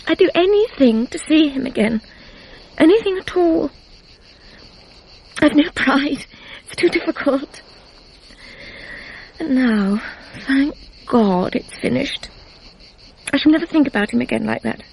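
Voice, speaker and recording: An emotional, fragile British woman pouring out her feelings in a radio drama. Her voice is high, breathless and very crackly, with faint noise and chirping insects behind it. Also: animated, measured pace, vocal fry, pauses often, a little mellow, full character voice. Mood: anguished, confessional.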